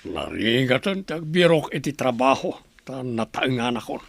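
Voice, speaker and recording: A man's voice: medium pitch, sing-song, moderate pace, vocal fry, no echo. A man with a Filipino accent playing an earnest, dutiful grown son in a radio drama. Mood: downcast. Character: dutiful, earnest grown son and suitor, middle-class worker.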